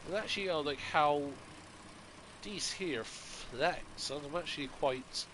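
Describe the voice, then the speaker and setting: A man's voice: a little high, unhurried, a bit bright, a little hiss or hum. A man with a stuffy nose from a cold, casually thinking aloud as he plays a farming game for his channel. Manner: rambling, thinking aloud while playing. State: congested from a cold. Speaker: gaming channel host. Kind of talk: casual gameplay commentary.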